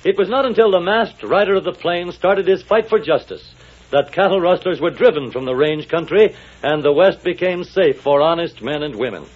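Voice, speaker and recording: A man's voice: fairly high pitch, lively intonation, normal speed, highs cut off. A man narrating a 1940s radio serial as announcer, in a dramatic, declamatory style on an old recording.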